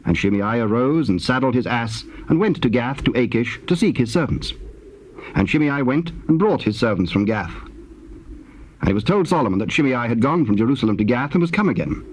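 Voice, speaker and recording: Casting: male narrator for solemn scripture, mid-pitched and husky, with lively intonation. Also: brisk. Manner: formal storytelling.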